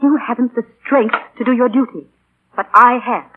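A woman's voice: a little high, normal speed, natural voice. A woman playing a strict, righteous, embittered wife and mother in 1940s radio drama, with a severe, emphatic, accusing manner. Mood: bitter, righteous. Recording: tinny, narrow 1940 radio broadcast.

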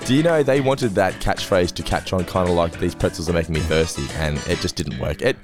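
A man hosting a casual podcast, hoarse after losing his voice, chatting and plugging the show. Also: low-pitched, brisk, music in the background. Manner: chatty, reads sponsor plugs. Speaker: podcast host.

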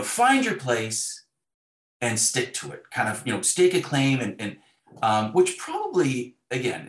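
A man's voice: on the low side, fairly bright tone, reverberant. A middle-aged man speaking as a novelist in an interview, reflective and discursive, with wry self-deprecation.

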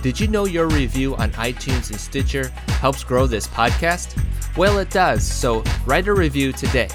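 A man hosting a podcast, reading upbeat promos and then asking relaxed interview questions. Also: medium pitch, moderate pace, over music. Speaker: podcast host. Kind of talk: podcast intro and casual interview.

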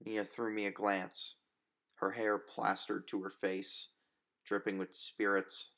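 A man's voice: on the low side, little pitch movement, a little slow, pushed and bright. A man reading a story as narrator, in dramatic scripted first-person narration.

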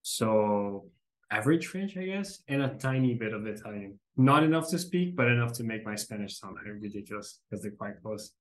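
Quick-talking Brazilian man guesting on a podcast. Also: on the low side, poor audio. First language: Portuguese. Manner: quick, fluent, relaxed and self-deprecating. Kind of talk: casual interview conversation.

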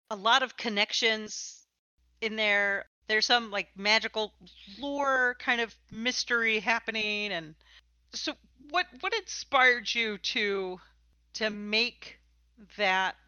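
Bright-voiced woman podcast host, slightly dull audio. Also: medium pitch, dry recording. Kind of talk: casual conversational interview.

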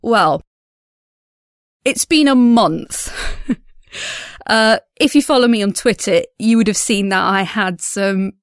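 Woman, informal audio diary, chatty, animated, self-deprecating, mid-range pitch, dry close recording. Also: slow pace, pauses often, smooth, no room sound. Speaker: audio drama creator and diary host.

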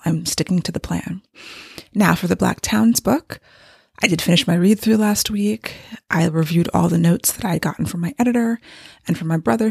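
A woman with a tired, gravelly voice, pitched a bit low and husky from strain, rambles candidly through a writing update. She talks casually at home, and the sound is close and dry. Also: a little mellow, dry recording. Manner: candid and rambling, with light laughs. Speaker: novelist giving a writing update. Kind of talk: casual vlog monologue. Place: home with hardwood floors.

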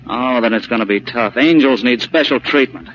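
An actor playing a brash, glib and excitable young heir in a stockbroker's office, cocky and sometimes stammering, in 1940s radio comedy style. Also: male voice, medium pitch, normal speed, close to an everyday voice. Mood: glib, eager. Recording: muffled, noisy old radio broadcast recording.

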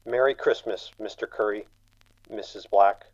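A man's voice: lowish voice, fairly even intonation, normal speed, a little mellow, no echo, muffled recording, lightly acted. A man acting in a radio drama as a stern crown attorney, formal and authoritative.

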